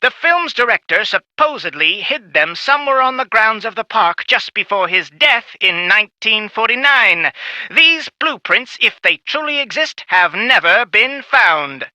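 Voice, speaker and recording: A very bright, high and swooping voice delivers theatrical tour narration for a video game. The delivery is showy and presentational, in a dry studio sound. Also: male voice, sing-song, unhurried, dry recording, heavily acted. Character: showy theme-park audio tour announcer.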